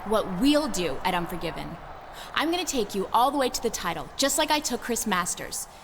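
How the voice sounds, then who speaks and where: A woman's voice: medium pitch, big pitch swings, a little fast. A woman playing a scheming, flirty wrestling diva with a fiery temper in a video game cutscene, coy and demanding. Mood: flirty, excited. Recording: noisy game cutscene audio.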